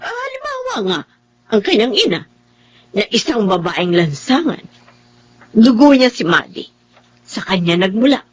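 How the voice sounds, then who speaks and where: A woman's voice: on the high side, sing-song, normal speed, pauses often, slight background noise. A woman acting a regretful, worried, pious older woman in a Filipino radio drama, sighing and earnest.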